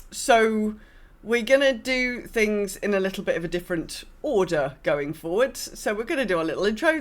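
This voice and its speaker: Female podcast co-host, mid-range voice, chatty and halting, informal delivery. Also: medium pitch. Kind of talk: casual podcast chat.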